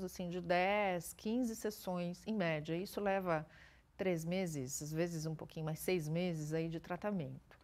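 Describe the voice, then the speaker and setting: A woman's voice: mid-range voice, darkish tone. A woman speech therapist giving careful explanatory answers in an interview, using everyday examples.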